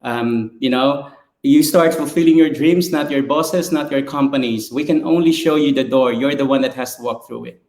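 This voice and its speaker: A man who works at a mine site, giving a halting testimonial on a live webinar, with many fillers and nervous pauses. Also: medium pitch, a little mellow. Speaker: fly-in mine worker and business partner. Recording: echoey room.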